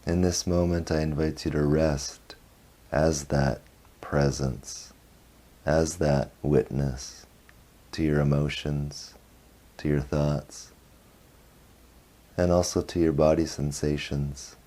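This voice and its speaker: A man leading a guided meditation, speaking softly in short phrases with long pauses between them. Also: rumbling low, level tone. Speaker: meditation teacher.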